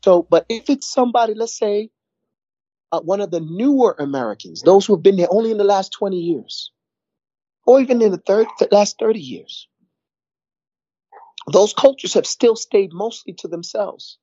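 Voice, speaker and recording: A man's voice: sits high, normal speed, pauses often, mellow, no echo, dull audio. A middle-aged man, talking casually in a rambling way, repeating words and saying "you know" a lot. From New York. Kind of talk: casual conversation.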